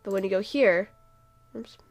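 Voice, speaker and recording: A woman's voice: medium pitch, moderate pace, no echo. A woman talking casually and unscripted as she walks viewers through a video, rambling a little and often trailing off. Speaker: video creator explaining own roleplay series. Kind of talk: casual unscripted walkthrough commentary.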